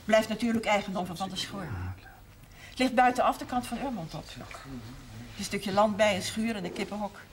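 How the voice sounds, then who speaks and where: An actress playing a middle-aged, emotional but steadfast mother in a 1970s TV drama, speaking in measured, earnest phrases. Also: medium pitch, natural voice. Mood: calm, resolute. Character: steadfast, emotional mother of a large Limburg family. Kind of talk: 1970s television drama acting. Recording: noisy old TV soundtrack.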